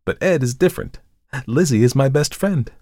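A man's voice: mid-range voice, lively intonation, normal speed, a little mellow, dry recording, clean studio sound, voice pushed up, lightly acted. A man voicing a cheerful, chatty young woman who works as an artist's model. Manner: bubbly, chatty.

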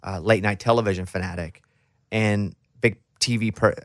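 A man's voice: on the low side, steady tone, moderate pace, dry recording, studio quality. A man, hosting a casual interview in a chatty, informal way. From Arkansas, USA. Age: 30s to 40s. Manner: chatty, informal, talks at length before questions. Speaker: interview host.

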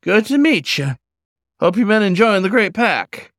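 A man's voice: high-pitched, very animated, unhurried, fairly bright tone, dry recording, studio quality, voice pushed up, exaggerated voice. A man voicing a cheerful old male wolf in a story, with a drawling, folksy, chatty manner. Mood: friendly, folksy.

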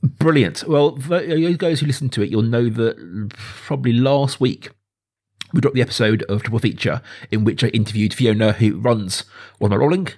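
A man speaking as a podcast host, chatting informally and with gentle jokiness about films. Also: mid-range voice, normal speed. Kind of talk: casual film podcast chat.

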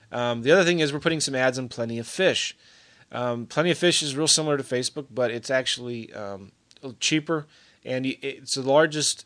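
Man, middle-aged, casual video update, rambling, filler-heavy, mid-pitched voice. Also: fairly bright tone. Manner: rambling, many fillers and restarts. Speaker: real estate investor.

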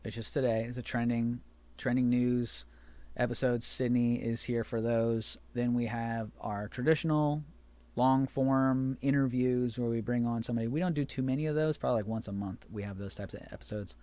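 A man in his mid-thirties hosts a podcast in loose, rambling conversation. He restarts and corrects himself often, and his voice sits in a middle pitch. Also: steady tone, normal speed, warm tone, dry recording. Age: 30s. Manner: rambling, chatty, self-correcting. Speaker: podcast host. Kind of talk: casual chat.

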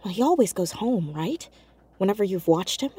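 An actress playing an earnest, caring teenage girl in an audio drama, speaking in a hesitant, halting, naturalistic way. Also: medium pitch, lively intonation, dark tone, slightly rough. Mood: uneasy. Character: earnest, awkward, caring teenage girl amateur sleuth, hiding in fear.

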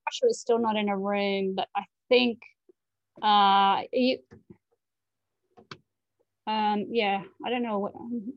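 Slow, hesitant female co-host on lo-fi, dry call audio. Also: medium pitch, fairly even intonation, no room sound. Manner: hesitant, full of ums while multitasking. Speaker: co-host managing breakout rooms. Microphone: video call.

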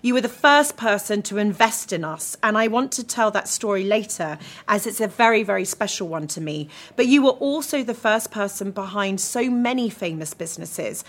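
A woman hosting a podcast interview, giving clear, prepared introductions and questions. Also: medium pitch, animated, moderate pace, few pauses. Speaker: podcast host.

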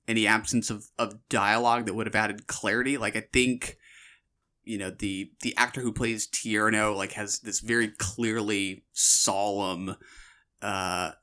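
A man co-hosting a film podcast, talking analytically but casually, with tentative pauses as he picks his words. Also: on the low side, sharp tone.

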